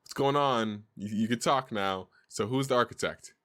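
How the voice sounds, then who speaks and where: A man live streaming and chatting excitedly, often repeating words in quick bursts. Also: mid-range voice, smooth. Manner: excitable, repeats himself rapidly.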